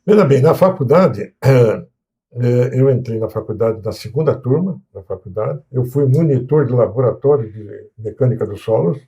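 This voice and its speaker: Man, 60s, Brazilian accent, interview guest, mid pitch, warm tone, hesitant reminiscing. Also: lively intonation, normal speed. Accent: Brazilian Portuguese, São Paulo coast. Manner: reminiscing, with frequent restarts and hesitations. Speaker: guest, civil engineer. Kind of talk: casual interview talk.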